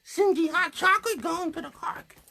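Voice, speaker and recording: A man's voice: very high voice, moderate pace, pushed and bright, pitched above the performer's own voice, exaggerated voice. A man voicing a chatty, sassy young girl puppet who tells stories and complains in colloquial speech. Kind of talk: improvised puppet show storytelling.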